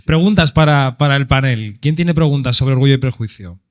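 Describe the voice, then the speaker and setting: A man moderating a live panel, lively and joking as he prompts the audience. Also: medium pitch, a little fast. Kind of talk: live event hosting.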